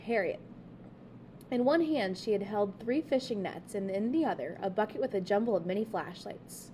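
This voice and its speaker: A woman teacher reading a children's book aloud in expressive narration, phrased clearly for children. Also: medium pitch, moderate pace, a little hiss or hum.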